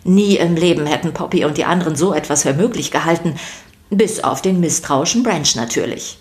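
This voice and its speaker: Low-pitched woman narrating for children. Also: expressive, a little fast, a little mellow. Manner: playful, addresses listeners directly. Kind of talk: scripted storytelling for a children's podcast.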